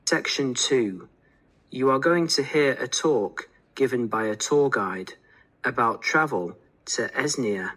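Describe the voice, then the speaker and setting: A man reading formal scripted test instructions as an exam announcer, in a measured, instructional way. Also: medium pitch, lively intonation, a bit bright, slightly rough, poor audio.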